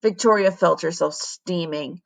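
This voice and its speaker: A woman, a teacher, reading a children's story aloud with dramatic, deliberate expression. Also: fairly low pitch, creaky.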